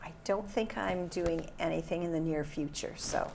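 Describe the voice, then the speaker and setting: A woman talking haltingly as an actor in a casual interview. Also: fairly low pitch, gravelly, over a noisy crowd. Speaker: amateur actor, interview guest.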